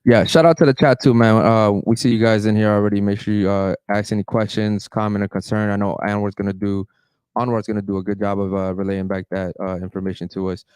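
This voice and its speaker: A man hosting a casual podcast, giving shout-outs and interviewing guests. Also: on the low side, little pitch movement, quick pace, clean tone, dry recording. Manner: hypes the chat and sponsor, asks guests questions. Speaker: podcast host.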